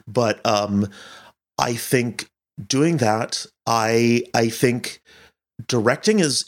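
Low-pitched, thoughtful man being interviewed. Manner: earnest, thoughtful, searching for words. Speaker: audio fiction director being interviewed. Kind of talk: conversational interview answers.

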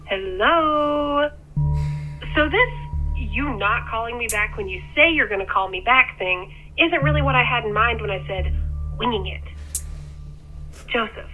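Exasperated, worried caller, fairly high voice, tinny phone line, wry, audio drama. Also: female voice, expressive, unhurried, long pauses, soft background music. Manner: wry, scolding. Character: exasperated, worried woman scolding someone close on voicemail. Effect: telephone voicemail filter.

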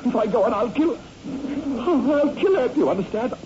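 An actor playing a tormented, highly strung surgeon in a 1960s radio horror drama, dramatic and moving between hushed and agitated speech. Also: male voice, expressive, a little fast. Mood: panicked, trembling. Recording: muffled radio recording with heavy noise.

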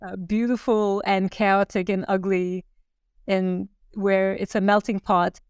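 Woman, interview guest, mid pitch, smooth and clear, dry close mic. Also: flat delivery, a little slow, a little mellow, no echo. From Turkey. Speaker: podcast guest, designer. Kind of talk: conversational interview.

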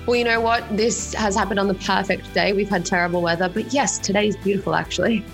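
A woman's voice: medium pitch, fairly even intonation, fast talker, gravelly, soft background music, poor audio. A young woman from England who now speaks with an Australian accent, telling her story as a guest in a casual interview. She talks in a chatty way, with lots of fillers and repeated words. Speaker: company co-founder, guest.

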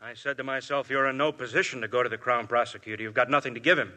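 A man plays a businesslike police inspector who asks probing questions in a bright, forceful voice. It is an old 1950s radio play. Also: mid-range voice, steady tone, fast, close to an everyday voice. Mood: determined. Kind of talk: 1950s radio drama acting.

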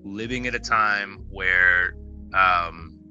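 Low-voiced rambling podcast guy, music behind. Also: slow, dull audio. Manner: animated, rambling, says "bro" often. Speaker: podcast co-host. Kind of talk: casual podcast chat.

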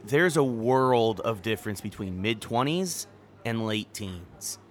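An actress playing a young, socially savvy college friend in an audio drama, casual and teasing in a naturalistic way. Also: female voice, a bass voice, expressive, takes it slowly, exaggerated voice. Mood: playful.

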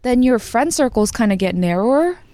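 Young korean-born woman guesting on a podcast, kinda high voice, laughs a lot, acts out the quotes, dry close sound. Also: brisk, no echo. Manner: animated storyteller who laughs often and acts out quoted voices. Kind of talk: casual chat.

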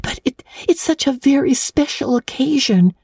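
Pleading little girl, high pitch, mellow tone, gushing, earnest, breathless, classic storybook. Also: animated, normal speed, vocal fry, no room sound, clean studio sound. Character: eager, pleading, imaginative young orphan girl. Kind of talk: dramatic audiobook reading of classic literature.

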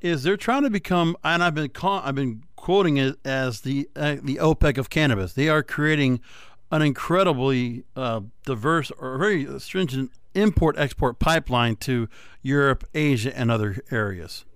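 A man's voice: mid-range voice, normal speed, smooth, no room sound, studio quality. A man hosting a podcast interview in a conversational style, putting long, wordy questions to his guest. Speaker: podcast host.

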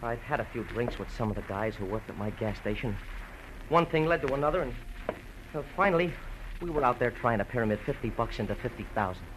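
Actor as a nervous, halting ex-convict. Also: male voice, mid-range voice, lively intonation, close to an everyday voice. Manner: halting, nervous, repeats words. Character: nervous, bitter framed gas-station man, just out of jail. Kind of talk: 1940s-50s radio drama acting. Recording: old radio broadcast, faint noise.

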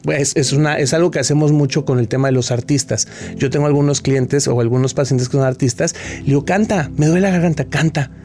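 A man's voice: a little high, a little fast, darkish tone. A man, a guest expert answering interview questions in an explanatory way, often restarting his sentences.

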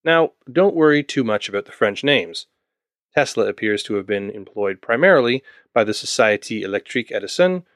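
A man's voice: fairly low pitch, dry recording, clean studio sound. A man from Ontario narrating a scripted history podcast, with wry asides to the listener. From Ontario, Canada. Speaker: podcast host.

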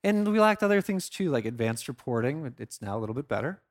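A man's voice: mid-range voice, big pitch swings, fast talker. A man presenting a conference talk in an informal, conversational way, leaning on key phrases for emphasis. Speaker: conference speaker, product marketer.

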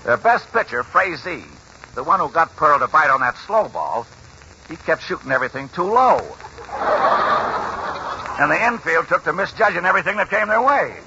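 A man's voice: a little high, lively intonation, moderate pace, long pauses, dull audio. A man playing a folksy old grandfather in a 1940s radio drama, spinning a baseball yarn in colloquial speech. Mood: animated storytelling. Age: older. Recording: noisy, band-limited 1940s radio recording.

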